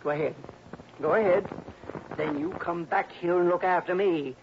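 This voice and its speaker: An actor playing a stingy, suspicious old uncle in an old-time radio drama, blustering and querulous. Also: male voice, high-pitched, normal speed, natural voice. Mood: blustering, demanding. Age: older. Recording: noisy, muffled old radio recording.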